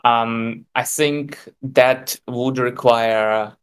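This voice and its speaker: A man from Ukraine, a game developer answering interview questions thoughtfully, with filler pauses. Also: medium pitch, steady tone, fairly bright tone, slight background noise.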